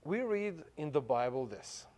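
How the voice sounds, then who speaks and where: A man's voice: on the high side, very animated, unhurried, a little mellow. A man in his thirties with a non-native English accent, preaching a casual, chatty sermon as pastor, full of fillers and restarts. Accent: foreign accent, non-native English. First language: not English. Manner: chatty, many fillers and restarts, laughs easily.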